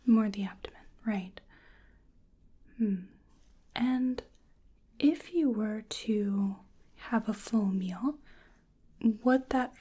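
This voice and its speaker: A woman playing a hesitant, curious creature researcher in a soft-spoken, whispered ASMR exam roleplay, musing gently and apologetically. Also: fairly high pitch, drawn-out words, pauses often, breathy edge, full character voice.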